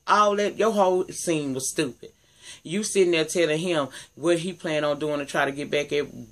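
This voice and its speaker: A man with a deep voice giving a casual, sassy, profane recap of a TV episode, talking straight to his viewers. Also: fairly bright tone. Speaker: TV recap vlogger.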